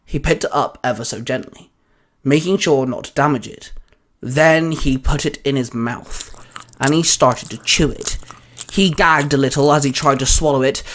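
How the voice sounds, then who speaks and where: A man's voice: mid-range voice, normal speed, fairly bright tone. A man reading scripted fan fiction as a story narrator.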